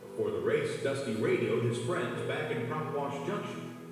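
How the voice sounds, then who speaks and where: A man's voice: mid-range voice, animated, normal speed. A man reading a children's read-along story as a scripted narrator. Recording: echoey hall, distant, music behind. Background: music.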